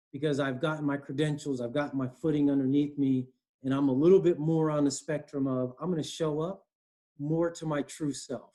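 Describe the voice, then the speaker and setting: Earnest East Coast man on a video call. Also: fairly high pitch. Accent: East Coast American. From Connecticut, US. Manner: earnest, animated, frequent "you know" and "right?". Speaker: guest, HR director. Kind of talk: conversational interview. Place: home room, indoors.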